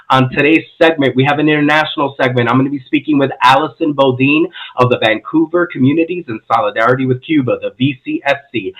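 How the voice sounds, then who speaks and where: A man's voice: mid-range voice, a bit bright. Enthusiastic male podcast host, dull audio. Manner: enthusiastic, friendly. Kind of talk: casual interview.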